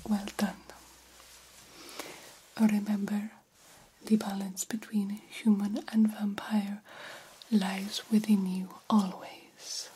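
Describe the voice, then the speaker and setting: A woman playing a vampire doctor in an ASMR roleplay, guiding a young fledgling with formal, deliberate, hypnotic instructions. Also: medium pitch, mellow, slight background noise, rough recording. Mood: approving, gentle. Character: stern yet encouraging female vampire doctor mentoring a fledgling.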